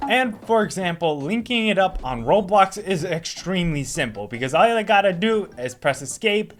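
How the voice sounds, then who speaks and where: A man hosting a casual gaming video, chatting upbeat and walking viewers through software. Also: sits high, animated, moderate pace, soft background music. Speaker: video host.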